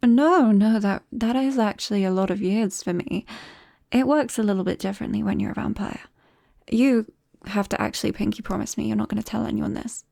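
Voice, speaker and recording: A young British vampire played by a woman confides shyly in a close friend in an intimate ASMR roleplay. The voice is soft and warm with a creaky edge, hesitant and often dropping to a whisper. The recording is very dry and close to the mic. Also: mid-range voice, a little fast, no room sound. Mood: playful, confiding. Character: shy, embarrassed young female vampire confiding in best friend. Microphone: close microphone.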